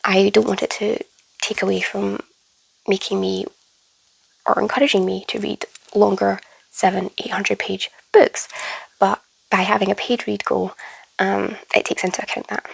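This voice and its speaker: Croaky, gravelly woman vlogger recovering from flu. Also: mid-range voice, flat delivery, moderate pace, no echo, poor audio. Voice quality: hoarse, gravelly. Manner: chatty and enthusiastic, drifting into soft whispery stretches. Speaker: book vlogger. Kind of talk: casual reading wrap-up to camera.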